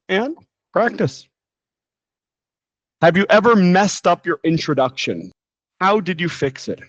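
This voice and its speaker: A man's voice: fairly high pitch, no echo. Bilingual guy teaching english, chatty and encouraging, kinda muffled dry audio. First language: bilingual, grew up speaking Portuguese and English. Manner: chatty, encouraging, asks the listener questions. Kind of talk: informal English lesson monologue.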